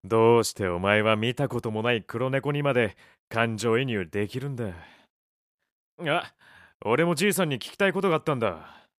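A male actor plays a gruff but caring young companion in a radio play. He talks bluntly and informally in a medium-pitched voice, recorded dry. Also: animated, normal speed, a bit bright, no room sound, lightly acted. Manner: blunt, rough casual male speech. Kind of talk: Japanese audio drama acting.

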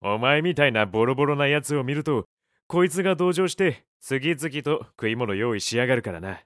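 A male actor as a gruff café owner in an audio drama. His medium-pitched voice is casual and rough-edged, with a vain streak and a habit of grumbling bluntly. It is recorded very dry. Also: expressive, brisk, fairly bright tone, no echo, natural voice. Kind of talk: Japanese audio drama acting.

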